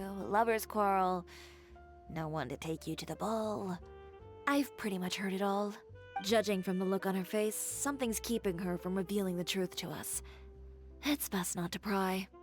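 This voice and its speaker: A woman's voice: medium pitch, close to an everyday voice. A woman playing a poised young fashion designer in a video game, composed, frank and businesslike.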